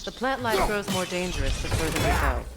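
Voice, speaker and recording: A woman's voice: lowish voice, very animated, fairly quick, heavy background noise. A woman voicing a proud, bitter exiled sorceress in a video game, speaking in a curt and imperious way. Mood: stern. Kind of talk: video game character acting.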